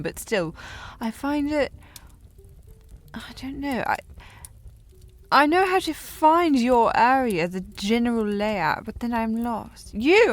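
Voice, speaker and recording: A woman playing a tipsy, haughty young noblewoman in a historical audio drama, teasing and rambling in a halting way. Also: medium pitch, lightly acted. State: playing slightly drunk. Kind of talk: scripted audio roleplay, period drama.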